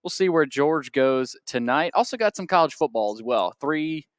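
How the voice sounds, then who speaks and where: A man's voice: a little high, expressive, moderate pace, dry recording, clean studio sound. An American man co-hosting a radio show in a casual, conversational sports talk style. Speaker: radio co-host.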